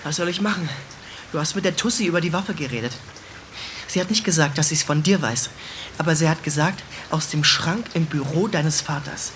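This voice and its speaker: An actress playing a defiant, sharp-tongued teenage girl in a radio drama, cheeky, slangy and combative. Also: low voice, fairly quick, slight background noise, natural voice. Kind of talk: naturalistic German radio drama acting.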